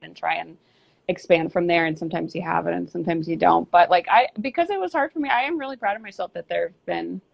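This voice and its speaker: Woman, rambling candid guest, fry-heavy voice, muffled lo-fi remote audio. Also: lowish voice, quick pace. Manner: candid, rambling, many fillers and restarts. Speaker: band singer and bassist, guest. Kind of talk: casual interview chat. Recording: lo-fi dull audio.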